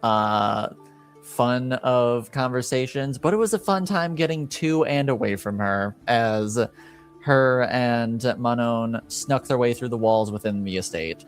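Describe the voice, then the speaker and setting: Slow, halting male game master over music. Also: mid-range voice, clean tone. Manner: halting, hesitant delivery with long pauses. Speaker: game master and host of a tabletop roleplaying show. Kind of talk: casual recap and banter for a streamed game.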